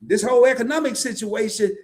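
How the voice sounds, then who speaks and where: A man's voice: extremely high pitch, a little fast. A man hosting a casual interview chat, playful and slangy, often turning to address the audience. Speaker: host.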